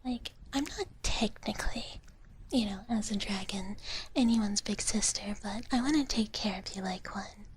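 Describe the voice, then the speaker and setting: A woman in a gentle dragon big-sister persona whispers softly and breathily close to the mic, chatting casually and cutely as an ASMR livestream host. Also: mid-range voice. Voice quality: soft, breathy, mostly unvoiced whisper. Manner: slow, cutesy, gentle, refers to herself in third person. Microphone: close ASMR microphone.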